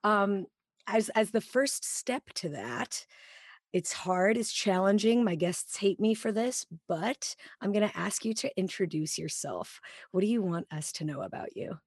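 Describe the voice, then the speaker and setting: A woman's voice: medium pitch, expressive, measured pace, breathy edge, dry recording. A woman hosting a podcast, speaking into a studio mic with headphones on, in effusive, chatty casual talk. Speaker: podcast host. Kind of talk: casual interview chat.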